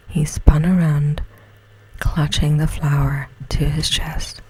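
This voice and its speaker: A woman narrating an ASMR bedtime story as a storyteller, in a breathy whisper. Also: sits low, warm tone, poor audio.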